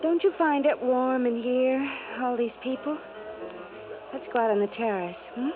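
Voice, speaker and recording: A woman's voice: high-pitched, moderate pace, close to an everyday voice. Woman playing a flirtatious radio society heiress. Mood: coy. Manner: breezy, coquettish. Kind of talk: 1950s radio drama acting. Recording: tinny old radio, music behind.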